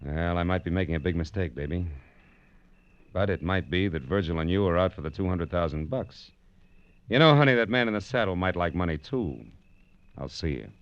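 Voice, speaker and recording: An actor playing a wisecracking, sardonic American private detective in an old-time radio drama. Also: male voice, sits low, lively intonation, fairly quick, no room sound. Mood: cool, probing. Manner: dry, sardonic, slangy. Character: wisecracking, hard-boiled male private detective. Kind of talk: 1940s radio detective drama acting with first-person narration. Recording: dull, lo-fi vintage radio recording.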